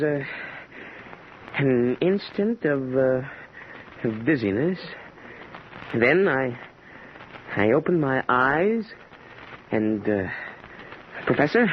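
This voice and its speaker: An actor playing an earnest, dazed young man in an old-time radio drama, stammering and halting as he speaks. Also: mid-range voice, very animated. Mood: shaken, earnest. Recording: noisy, muffled vintage radio recording.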